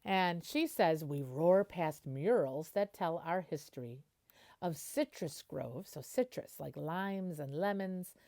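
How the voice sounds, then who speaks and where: Woman reading aloud, very lively pitch. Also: slow. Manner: expressive, explains words simply. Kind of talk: children's picture book read-aloud with explanations.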